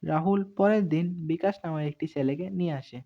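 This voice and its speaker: A man's voice: a little high, brisk, gravelly. A man narrating a cartoon story from a script as the storyteller. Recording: narrow, phone-like muffled sound.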